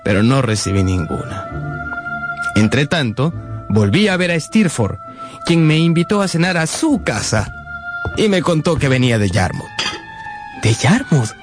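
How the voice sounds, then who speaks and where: A sensitive young man in a radio drama, both narrating and speaking in scenes. His mid-range voice is earnest and emotive, with music clearly audible behind it. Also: mid-range voice, very animated, a little slow, pauses often. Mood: wistful narration.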